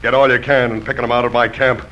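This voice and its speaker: An actor playing a ruthless, hot-tempered bandit chief with an American Western accent, bullying and barking orders in a radio western. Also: male voice, medium pitch, little pitch movement, quick pace, close to an everyday voice. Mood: angry, menacing. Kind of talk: old-time radio western acting. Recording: muffled, hissy old radio drama recording.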